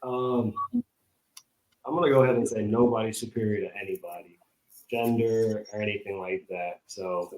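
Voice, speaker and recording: A man's voice: on the low side, steady tone, moderate pace, darkish tone, a little hiss or hum. Terse man in a roomy echo. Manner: terse, keeps answers short. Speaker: podcast co-host. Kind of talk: casual chat.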